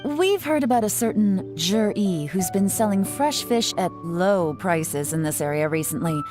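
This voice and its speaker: An actress playing a cool, self-assured woman investigator in a video game, smooth, composed and politely probing. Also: mid-range voice, expressive, a little slow, music in the background, lightly acted.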